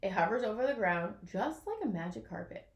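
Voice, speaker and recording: A woman reading a children's picture book aloud in an expressive way, as if to young listeners. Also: mid-range voice, brisk, reverberant. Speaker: storybook reader.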